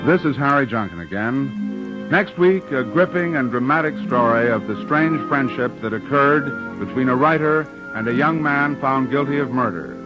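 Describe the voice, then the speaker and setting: A man hosts a radio show and signs off in a polite, formal way. His voice is muffled by the old recording, and music plays clearly underneath. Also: medium pitch, normal speed. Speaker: radio director and host. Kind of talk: formal closing remarks.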